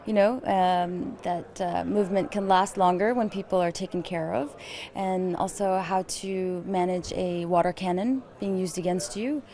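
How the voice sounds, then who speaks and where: A woman answers interview questions in a lowish voice. She speaks haltingly and pauses often on fillers. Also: dark tone.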